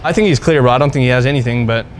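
Male prankster, twenties, American, brisk conversational delivery, average pitch. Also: heavy background noise. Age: 20s. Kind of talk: casual chat.

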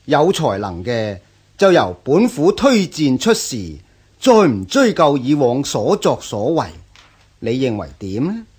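Slow, husky scholar-official. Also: male voice, medium pitch, huge pitch swings, a little mellow, heavily acted. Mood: persuasive. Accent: Hong Kong Cantonese. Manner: measured, sighing, earnest. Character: patient, principled male scholar-official, a prefect. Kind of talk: radio drama acting.

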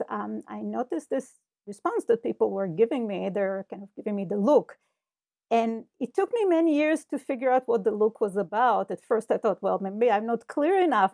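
A woman's voice: medium pitch, vocal fry, dark tone, dry recording, studio quality. A middle-aged woman, a Hebrew speaker, explaining her research thoughtfully over a video call with earbud headphones. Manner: thoughtful, explanatory, many fillers. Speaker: cognitive scientist guest. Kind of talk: interview conversation. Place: home office with bookshelves. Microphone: earbud headset over video call.

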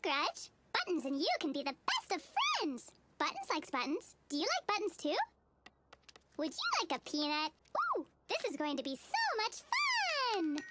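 Squeaky, sky-high cheerful cartoon little girl. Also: heavily acted. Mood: excited, friendly. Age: child character. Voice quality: squeaky, girlish. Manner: gushing, innocent, sing-song. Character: relentlessly cheerful, naive, sweet little girl. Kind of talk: animated TV cartoon acting. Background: playful children's music.

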